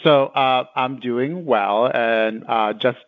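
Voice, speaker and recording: A man giving conversational interview answers, phrasing them in a halting, hesitant way. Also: mid-range voice, no room sound. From El Salvador until nine, then Connecticut, US. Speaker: community center director, interview guest. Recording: narrow phone-like line.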